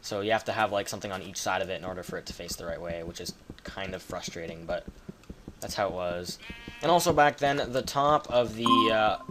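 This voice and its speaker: Brief: Canadian man, lower register, chatty offhand gameplay commentator with faint keyboard clicks behind. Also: brisk, noisy background. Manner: rambling, chatty, swears casually. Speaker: gaming video creator.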